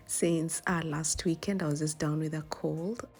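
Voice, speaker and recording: A young woman narrates a travel vlog in a casual voice-over. Her voice sits low and is hoarse from a cold, with soft music playing behind it. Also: steady tone, slow pace. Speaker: vlogger. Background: background music.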